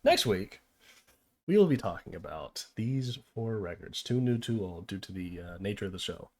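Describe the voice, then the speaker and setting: A man hosts a laid-back album-review chat, his pitch bouncing around as he rambles through fillers and teasing asides. His voice sounds a little worn after a long session. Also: big pitch swings, normal speed, darkish tone. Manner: loose, filler-heavy, playful. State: losing his voice. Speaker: podcast host. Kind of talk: casual music review chat.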